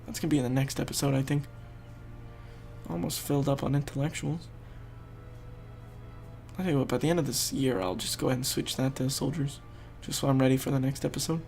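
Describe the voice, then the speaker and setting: A man's voice: medium pitch, fairly even intonation, a little fast, gravelly, pauses often, a little mellow, faint music behind. A young man with a stuffed-up, fading voice, casually thinking aloud while he plays and explains a strategy game. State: congested, losing his voice. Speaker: gaming video host. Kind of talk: casual gameplay commentary.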